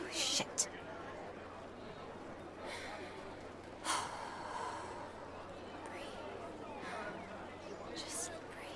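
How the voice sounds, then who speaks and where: A woman playing a flustered, earnest young witch who reads tarot, in an ASMR roleplay with a halting manner. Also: low-pitched, background noise, full character voice. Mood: flustered, pleading. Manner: halting, breathy asides, nervous little laughs and sighs. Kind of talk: ASMR roleplay acting, addressed to the listener.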